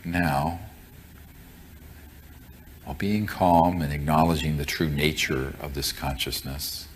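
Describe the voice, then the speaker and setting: A man with an extremely low voice guides a group through meditation. His delivery is very slow and solemn, with deliberate, measured phrasing. Faint noise and room ambience sit behind him. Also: fairly even intonation. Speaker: meditation teacher.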